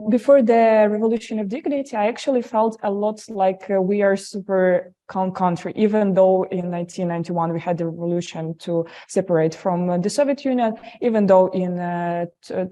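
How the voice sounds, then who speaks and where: Ukrainian-accented young woman, hesitant and mellow. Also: medium pitch. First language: Russian. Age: 20s. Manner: hesitant, many filler sounds. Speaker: guest speaker. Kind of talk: personal talk in an online briefing. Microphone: shared computer microphone on a video call.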